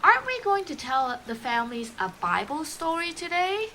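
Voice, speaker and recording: High voiced lady cohosting a kids show, expressive, some background noise. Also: moderate pace, rough recording. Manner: expressive, addressing young children. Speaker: co-host of children's show. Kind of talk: scripted children's show presenting.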